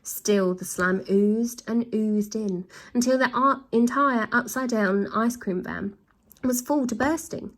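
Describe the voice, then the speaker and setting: A woman reading a children's storybook aloud, with lively voices and sound effects. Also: a little high, unhurried. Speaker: storybook reader.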